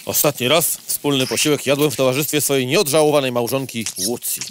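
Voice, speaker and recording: A man's voice: medium pitch, brisk, noisy background, close to an everyday voice. Man playing a courtly old widowed beetle officer. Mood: mournful. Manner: formal, old-fashioned courtesy. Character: elderly widowed beetle, retired cavalry captain, courtly and sentimental. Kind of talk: audio comedy drama acting.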